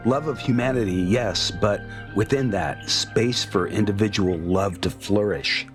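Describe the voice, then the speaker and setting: Man playing a low-voiced gentle philosopher. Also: soft background music, close to an everyday voice. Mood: tender. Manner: thoughtful, deliberate.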